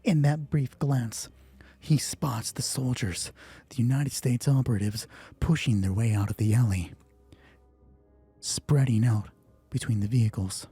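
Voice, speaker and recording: Husky guy doing solo rpg narration, informal, mellow tone, faint music behind him. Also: mid-range voice, unhurried, pauses often. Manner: informal, swearing, thinking aloud. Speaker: solo roleplay game master and narrator. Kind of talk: narrating a tabletop game, dramatic storytelling mixed with casual rules talk.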